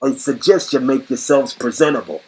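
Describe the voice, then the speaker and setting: A man playing a gruff, impatient guard who orders prisoners around in a curt, husky voice. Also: medium pitch, normal speed, low-quality recording. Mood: commanding.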